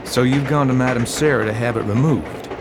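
A man playing a courteous, wary gentleman investigator in a radio drama, inquisitive and concerned. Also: mid-range voice, a little fast, noisy background, close to an everyday voice. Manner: inquisitive, varied intonation. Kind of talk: amateur radio drama acting.

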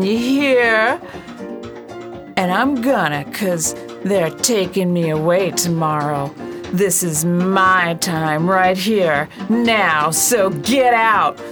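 A brash, scornful woman, played by an actress in a radio play, taunting and coarse. She drawls her lines very slowly over music. The voice sits in a middle range. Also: lowish voice. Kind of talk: radio drama acting.

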